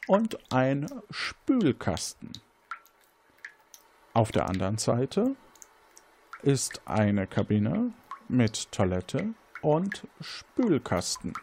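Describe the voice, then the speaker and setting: A man's voice: medium pitch, huge pitch swings, drawn-out words, pauses often, a little mellow, a little hiss or hum. A man hosting an interactive crime game, narrating scenes as game master in a partly improvised, playful way.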